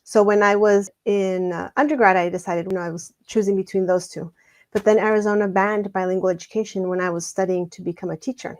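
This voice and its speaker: A woman's voice: mid-range voice, fairly even intonation, fairly quick. Earnest woman guest, from Mexico. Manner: warm, earnest, reflective storytelling. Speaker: guest scholar. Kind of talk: conversational interview.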